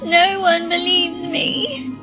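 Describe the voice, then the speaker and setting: Timid, high-voiced little girl. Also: flat delivery, takes it slowly, music in the background. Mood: plaintive. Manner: hesitant, childlike, plaintive. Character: timid, earnest young girl, frightened and unheeded. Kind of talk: audio drama acting.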